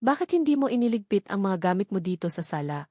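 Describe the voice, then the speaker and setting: An embittered, proud young policewoman, played by a woman narrator in a romantic audio drama. She is confrontational and dramatic, with a medium-pitched voice recorded dry. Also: very animated, fast talker, a little mellow, no room sound. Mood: annoyed.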